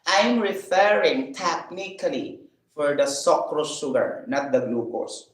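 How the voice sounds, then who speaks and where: A man's voice: fairly high pitch, lively intonation, unhurried, reverberant, poor audio. A man chatting casually in a vlog while he eats, rambling with fillers, asking himself questions and laughing. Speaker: vlogger.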